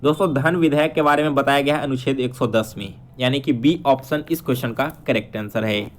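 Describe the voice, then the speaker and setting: A man's voice: medium pitch, fairly quick. A man teaching exam questions, explaining answers step by step and checking in with viewers. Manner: instructional, addresses viewers directly with frequent check-ins.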